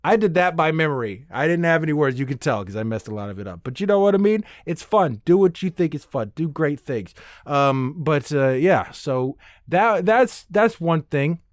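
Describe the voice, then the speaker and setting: A man's voice: fairly high pitch, lively intonation, moderate pace, dry recording, studio quality. A man hosting a podcast alone, chatting casually and rambling, sweary and self-deprecating with nerdy enthusiasm. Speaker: podcast host. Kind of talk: casual, rambling solo chat.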